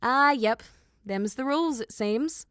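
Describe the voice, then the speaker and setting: A confident, impatient girl pilot, played by a woman audiobook reader, speaks up high with breezy sarcasm. She is assertive and slangy, and the sound is close and dry. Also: a little slow, a bit bright, clean tone, no room sound. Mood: wry, sarcastic. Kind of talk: audiobook character dialogue.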